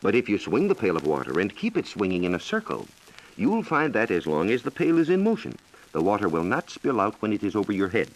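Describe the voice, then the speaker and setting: An American man explaining science in a measured, lecturing way, as a reporter in a scripted 1940s educational radio dialogue. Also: lowish voice, wildly swooping pitch, low-quality recording. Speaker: science reporter and guest expert.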